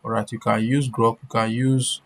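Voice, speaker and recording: A woman presenting an informal screen-share software tutorial, repeating herself and often checking that listeners are following. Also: very deep voice, little pitch movement, normal speed.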